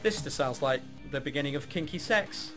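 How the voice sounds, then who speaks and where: A man with a fairly high, lively voice plays through a game on mic. He reads the on-screen text and breaks off for sarcastic, sweary asides. Faint music runs behind him. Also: a little fast. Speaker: let's play host. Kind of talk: let's play commentary, reading game text aloud.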